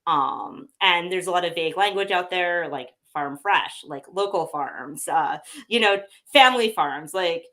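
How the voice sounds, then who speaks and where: A woman's voice: on the low side, measured pace, hardly any pauses, a bit bright. A woman talking casually about how her company sources its meat, chatty, with many fillers and restarts, a bit sniffly. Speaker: pet food company representative. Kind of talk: casual conversational interview.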